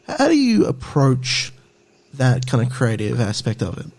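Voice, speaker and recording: A man hosting a podcast, with a voice at an ordinary middle pitch. He asks long, rambling questions and restarts his sentences as he goes. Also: huge pitch swings, unhurried, a little mellow. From Australia. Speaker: podcast host. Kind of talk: casual interview chat.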